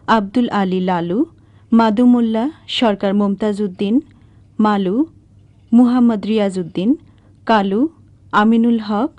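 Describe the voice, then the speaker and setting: Mellow woman radio announcer, dry sound. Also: a little high, dry recording. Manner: measured, list-like delivery. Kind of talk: formal scripted credits reading.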